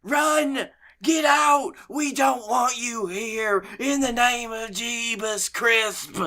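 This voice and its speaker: A man voicing a panicked zealot who shouts drawn-out commands to drive out a demon, as a comedy bit. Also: very high voice, voice pushed up, heavily acted. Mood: panicked, shouting.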